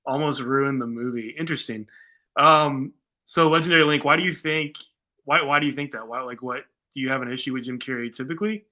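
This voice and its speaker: A man with a very bright, punchy voice at an average male pitch. He talks like a co-host on a casual fan show, informal and conversational, weighing opinions loosely as he goes. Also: a little fast. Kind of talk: casual conversational film discussion.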